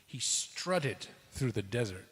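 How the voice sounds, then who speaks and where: A man gives a dramatic spoken-word storytelling performance, theatrical, with deliberate pauses between short phrases. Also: medium pitch, very animated, a bit bright, breathy edge. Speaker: cast member in a dramatic reading. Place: large synagogue hall. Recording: reverberant large hall.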